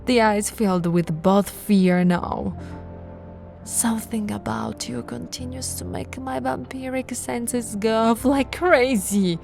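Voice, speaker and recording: An ancient vampire noblewoman, acted by a woman for an ASMR roleplay, speaking at an unhurried, lingering pace. Her voice has a crackly edge and often drops to a breathy whisper, seductive and possessive, over faint music. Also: fairly high pitch, lively intonation, full character voice. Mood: haughty, intrigued. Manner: slow, teasing, breathy whispering with soft laughs.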